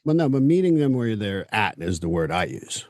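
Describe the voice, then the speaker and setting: A man with a French Canadian accent, a native French speaker, hosting a casual podcast interview and talking bluntly, even swearing. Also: fairly low pitch, normal speed, no room sound, studio quality. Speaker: podcast host.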